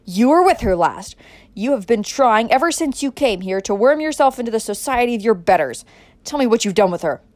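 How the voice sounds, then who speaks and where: A woman's voice: lowish voice, steady tone, moderate pace, darkish tone, no echo, close to an everyday voice. A woman voicing a proud, stern older man, a domineering father with a stiff, commanding manner, in a storybook reading. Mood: furious.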